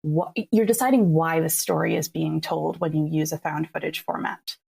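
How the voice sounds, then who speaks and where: A woman with a fairly low, very mellow voice speaks as an interview guest. She explains creative choices in an articulate, enthusiastic way. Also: moderate pace, vocal fry, slightly rough. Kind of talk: thoughtful explanatory interview talk.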